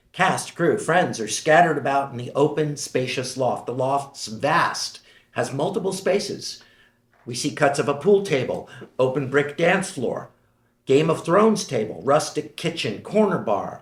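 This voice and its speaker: A man's voice: mid-range voice, echoey room. A man reading the stage directions of a screenplay at a table read.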